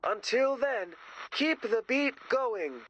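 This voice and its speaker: A man's voice: very high-pitched, very animated, slow, sharp tone, muffled recording, a little hiss or hum, heavily acted. A man playing a cocky, self-promoting rock drummer in a video game, presenting like a grandiose TV host with dramatic emphasis. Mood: showy, upbeat. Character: cocky, self-promoting male rock drummer hosting his own show.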